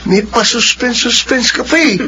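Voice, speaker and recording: A young boyfriend in his twenties in a radio drama, bashful and dependent. The voice is very high for a man, with an emotive, boyish delivery. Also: normal speed, bright, forceful tone, a little hiss or hum. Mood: bashful, delighted. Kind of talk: Filipino radio drama acting.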